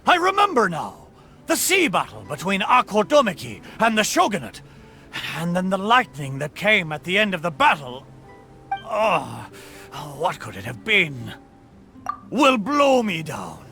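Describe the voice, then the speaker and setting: An actor voicing the boisterous, emotional spirit of an old ship in a video game, with theatrical speech. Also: male voice, high-pitched, pushed and bright, exaggerated voice. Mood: excited, astonished. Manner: theatrical, exclamatory pirate talk. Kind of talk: dramatic video game voice acting.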